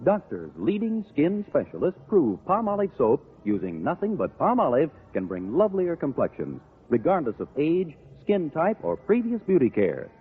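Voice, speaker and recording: Husky, swooping male vintage radio announcer. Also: on the high side, wildly swooping pitch, moderate pace, hardly any pauses. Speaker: commercial announcer. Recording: tinny vintage radio broadcast.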